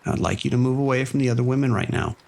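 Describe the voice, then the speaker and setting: Actor as a steady police sergeant, mid-pitched, firm, measured, audio drama. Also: male voice, creaky, natural voice. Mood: steady, commanding. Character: calm, steady male police sergeant on the phone.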